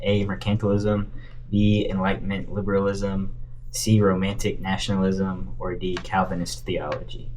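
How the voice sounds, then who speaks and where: A man's voice: low-pitched, completely flat delivery, normal speed, a little mellow. A man reading quiz questions aloud as a player in a board game, in a noisy room. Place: indoor room at a university.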